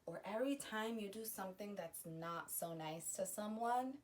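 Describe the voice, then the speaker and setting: A woman reading a children's picture book aloud, pausing to explain the story to young listeners. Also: medium pitch, expressive, far from the mic. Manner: slow, gentle storytime delivery with explanatory asides. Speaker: children's read-aloud host.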